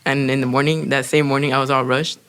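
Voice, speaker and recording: Teen boy, native Spanish speaker, heavy vocal fry, sheepish and self-mocking. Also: medium pitch, level tone, fast, fairly bright tone. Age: teens. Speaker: student speech competitor. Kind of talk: casual chat.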